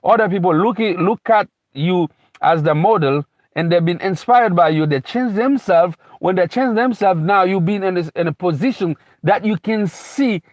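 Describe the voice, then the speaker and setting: A man's voice: high voice, moderate pace, dry recording. A man from Haiti giving a rambling, unscripted motivational talk as a speaker, repeating himself and restarting phrases often. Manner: rambling, repetitive, frequent restarts and "you know". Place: lives in America.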